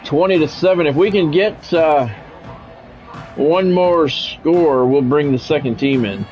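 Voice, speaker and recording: A man's voice: a little high, unhurried, a little mellow. An American man doing play-by-play commentary on a football game in short, clipped announcer phrases. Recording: dull lo-fi sound, music behind.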